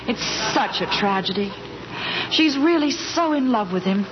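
A woman's voice: fairly high pitch, animated, a little slow. An actress playing an earnest, lovelorn young woman in a 1940s radio comedy. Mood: wistful. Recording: muffled, hissy old radio broadcast.

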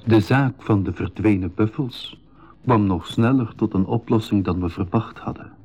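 An actor playing a composed storyteller, narrating an adventure in measured fashion for a 1980s school radio drama. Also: male voice, on the low side, darkish tone, slight background noise, close to an everyday voice. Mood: composed storytelling. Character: man recounting his own past adventure, composed storyteller. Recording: dull 1980s radio recording.

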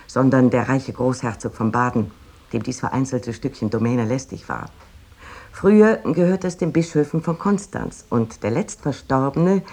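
Proud, delighted lady of letters, husky. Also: very deep voice, a little mellow. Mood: animated, satisfied. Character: proud, delighted 19th-century woman writer describing her new little house. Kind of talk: dramatized reading of a letter.

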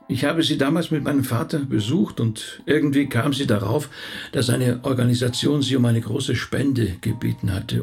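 An actor playing a thoughtful, courteous middle-aged rabbi in a radio play, with a gravelly, husky voice and a hesitant way of talking. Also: male voice, medium pitch, a little mellow. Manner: reflective, hesitant, polite.

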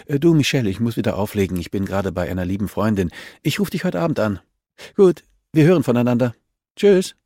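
A composed professional woman in an audiobook, voiced by a man. The pitch is lifted and the manner is gentle and coaxing, with quiet self-assurance. The recording is very dry. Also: animated, fast, dry recording. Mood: friendly, brisk. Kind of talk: audiobook character dialogue.

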